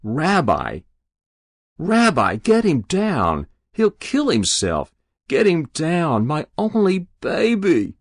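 A man's voice: fairly low pitch, huge pitch swings, takes it slowly, creaky, hardly any pauses, a bit bright, no echo, clean studio sound, full character voice. A man voicing an anxious, doting mother with a bewildered, pleading manner, in an audiobook story. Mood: panicked, pleading.

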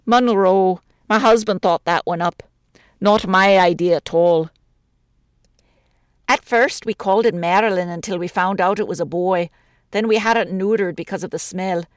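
A woman reading a story aloud, voicing a chatty, homely widow who misses the quiet of the country. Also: mid-range voice, normal speed, no echo, voice pushed up, close to an everyday voice. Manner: chatty, homely, warm-hearted talk.

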